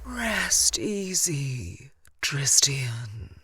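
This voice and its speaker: A woman's voice: rumbling low, sing-song, very slow, heavy background noise, heavily acted. A woman playing a seductive dusk elf vampire in an audio drama, doting and protective, with a sultry, cooing manner. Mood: tender, enchanted. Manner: cooing, sultry, purring endearments. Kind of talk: audio drama character acting.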